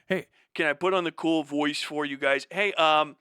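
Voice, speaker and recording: A man's voice: on the high side, fairly even intonation, normal speed, bright, forceful tone, clean tone, dry recording, clean studio sound, pitched below the performer's own voice. A man voicing a pathetic begging male streamer who affects a cool voice while pleading for money. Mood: fake-cool begging.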